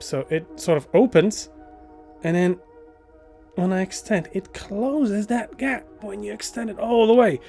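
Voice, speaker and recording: A man giving a casual, unscripted gear review to camera, enthusiastic but halting, often repeating words and phrases. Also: sits high. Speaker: product reviewer.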